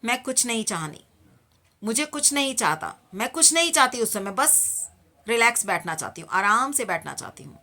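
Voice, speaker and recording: A woman teaching guided meditation, giving calm, repeated instructions in a soothing manner. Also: a little high, normal speed.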